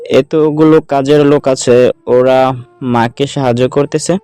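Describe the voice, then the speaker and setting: A man's voice: medium pitch, fairly even intonation, unhurried, warm tone, clear, resonant tone, no room sound, faint music behind, natural voice. A man playing an easygoing young newlywed husband in an audio story drama. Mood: teasing.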